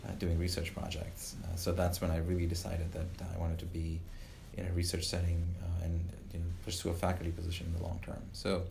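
A man in his thirties from Uganda, a professor answering interview questions in a measured way, with many "uh" fillers and restarts. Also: sits low, completely flat delivery, vocal fry, darkish tone, slight background noise. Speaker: professor and guest. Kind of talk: podcast interview.

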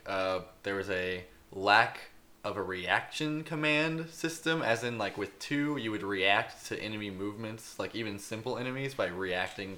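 A man on a podcast giving a casual, halting, unscripted game review. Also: on the low side, pushed and bright.

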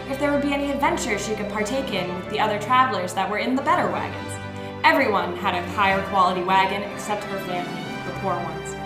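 A woman co-hosting a comedy podcast, chatting casually and reading in a playful mock-dramatic way. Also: mid-range voice. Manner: playful, theatrical. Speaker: podcast co-host. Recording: echoey room, loud music behind.

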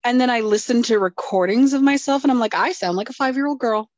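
A woman's voice: a little high, quick pace, no room sound. Woman podcast cohost, dry muffled sound, tired self-deprecating jokes. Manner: self-deprecating, drowsy joking. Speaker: podcast co-host. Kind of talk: casual chat.

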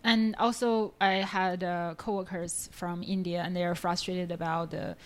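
Host: woman, attentive interviewer, short affirmations between calm questions. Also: lowish voice, normal speed. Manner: listens, with frequent short affirmations. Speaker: podcast host. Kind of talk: interviewer, brief backchannels and questions.

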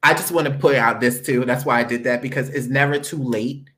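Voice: mid-range voice, steady tone, a little fast, a bit bright. An interview host with a fervent, preacherly cadence who asks faith questions and preaches at times.

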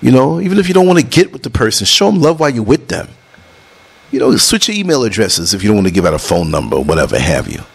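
A man's voice: big pitch swings, fast, creaky, breathy edge. Emphatic New man preaching unity. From New York City. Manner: emphatic, preachy, jumps between high and low tones. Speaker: vlogger and podcast host. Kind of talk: casual talk over concert footage.

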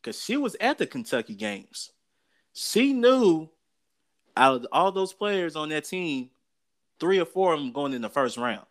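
A man's voice: fairly high pitch, very animated, a little slow. An American man co-hosting a casual sports talk show, giving opinions in an emphatic, colloquial way and repeating phrases for effect.

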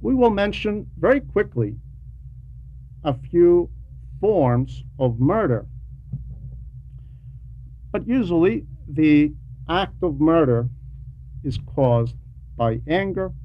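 Slow, higher-pitched man preaching. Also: sing-song. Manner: deliberate, with pauses between short phrases and repetition for emphasis; didactic, poses rhetorical questions. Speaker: religious teacher reading a translated homily to a class. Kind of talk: homily, teaching a class. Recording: dull lo-fi recording with faint noise.

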